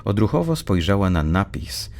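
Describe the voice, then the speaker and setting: A man narrating dark fiction from a script in a measured, suspenseful, dramatic storyteller's manner. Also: fairly low pitch, very animated, gravelly.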